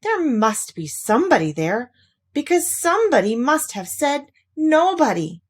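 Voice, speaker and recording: Woman voicing a naive, friendly storybook bear, lively pitch. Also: measured pace. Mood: curious calling. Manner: hums nonsense syllables, puzzled and polite. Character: friendly, naive, greedy male toy bear. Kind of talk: children's storybook character voice.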